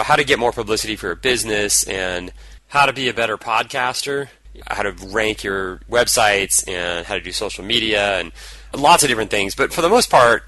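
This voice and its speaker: Hoarse man hosting a solo podcast. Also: lowish voice, bright, forceful tone, a little hiss or hum, poor audio. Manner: casual, rambling, upbeat. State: hoarse from a cold. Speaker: podcast host. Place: indoors, traveling.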